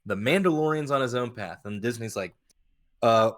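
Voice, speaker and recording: A man's voice: mid-range voice, expressive, moderate pace, a bit bright. Casual, blunt guy chatting. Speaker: podcast co-host. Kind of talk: casual opinionated chat.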